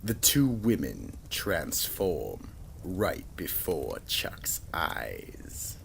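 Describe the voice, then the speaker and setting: Very deep, creaky male horror storyteller. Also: wildly swooping pitch, a little hiss or hum. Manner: slow, theatrical, ominous. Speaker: storyteller, announcer. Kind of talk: dramatic scripted horror narration.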